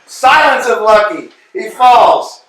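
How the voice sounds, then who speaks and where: A man narrating stage directions in a brisk, matter-of-fact way during a play reading. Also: very high voice, animated, background noise. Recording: echoey room, distant mic.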